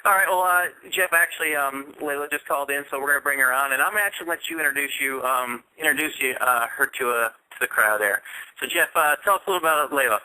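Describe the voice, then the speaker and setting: Fast-talking man with a very bright, forceful voice. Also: on the high side, poor audio. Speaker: radio show host, interviewer. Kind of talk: conversational sports radio interview.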